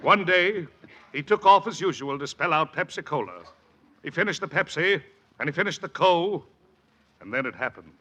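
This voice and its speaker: Forceful, melodramatic flight instructor. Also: male voice, a little high, lively intonation, normal speed. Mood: grave. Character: overwrought, hearty male flight school instructor. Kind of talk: 1940s radio comedy acting.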